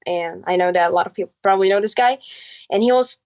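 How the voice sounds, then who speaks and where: A woman's voice: medium pitch, fast, a little mellow, smooth. Young teenage girl with Czech accent. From Czech Republic. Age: early teens. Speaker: podcast guest. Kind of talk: casual personal storytelling.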